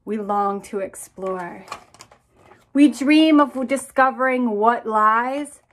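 A woman's voice: on the high side, slow, fairly bright tone. A woman in her thirties, a children's author, reading picture books aloud expressively and speaking directly to young listeners. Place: small indoor room with bookshelves.

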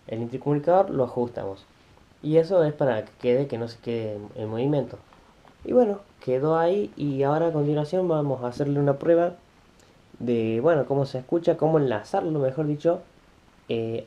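Male, Argentine accent, casual motovlogger explaining step by step, haltingly; dull lo-fi recording. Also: medium pitch, mellow. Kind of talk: casual hands-on tutorial. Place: room at home, indoors. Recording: dull, lo-fi sound.

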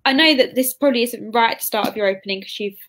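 A young woman hosts a casual livestream interview over a video call. Her voice is fairly high, and she speaks informally, feeling her way through sentences. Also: very fast, fairly bright tone. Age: 20s. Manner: informal, hesitant, often says "like". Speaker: interview host, bookshop assistant manager. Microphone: computer mic on video call.

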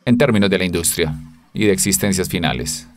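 A man giving a simultaneous interpretation of a technical conference talk, halting, with pauses and self-corrections. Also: sits low, animated, soft background music.

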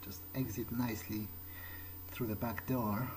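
A man's voice: medium pitch, steady tone, measured pace, very noisy. A man doing in-character game commentary, musing aloud in a hesitant way. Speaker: gamer roleplaying a character.

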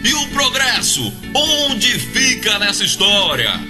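A man's voice: sits high, wildly swooping pitch, slow pace, music in the background, exaggerated voice. An actor playing a pompous small-town male politician in a Brazilian radio comedy, oratorical and emphatic as he preaches progress. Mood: rousing. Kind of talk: comic radio soap acting.

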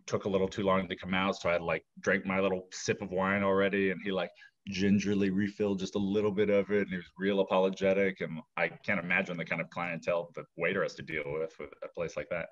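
A man's voice: deep voice, level tone, fast talker, highs cut off. A man chatting casually as a guest, telling rambling stories full of fillers. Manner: laid-back, rambling with fillers. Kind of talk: casual storytelling chat.

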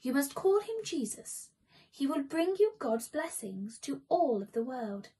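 A woman voicing a calm, reassuring angel who proclaims good news slowly and emphatically in a children's storybook. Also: high voice, exaggerated voice.

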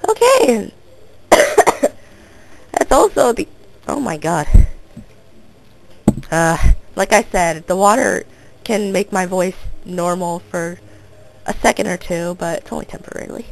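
A teenage girl with a hoarse, sore throat, rambling through casual gameplay commentary. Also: fairly low pitch, big pitch swings, takes it slowly, long pauses, poor audio. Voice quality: gravelly. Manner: rambling and self-interrupting, coughing now and then. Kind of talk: casual let's play commentary.

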